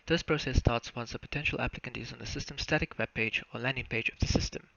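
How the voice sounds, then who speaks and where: A young South African man giving a formal, step-by-step narrated walkthrough of software. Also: medium pitch, fairly even intonation, fast, gravelly, dry recording. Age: young adult. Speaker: student software developer presenting a demo. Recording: muffled lo-fi mic, faint noise, clicks.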